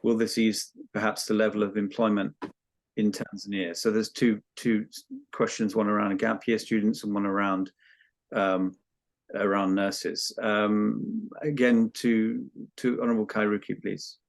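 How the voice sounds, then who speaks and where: A man's voice: lowish voice, little pitch movement, measured pace, creaky. A man chairing a question session, reading out audience questions and often repeating words. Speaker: meeting chair.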